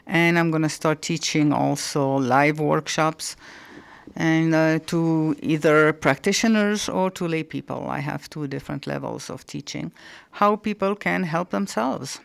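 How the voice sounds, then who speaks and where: A woman's voice: deep voice, unhurried, gravelly, clear, resonant tone. Woman with a French-Indian mixed accent. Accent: mixed French and Indian English. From French-speaking Switzerland. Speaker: guest, naturopathic doctor. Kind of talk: interview conversation.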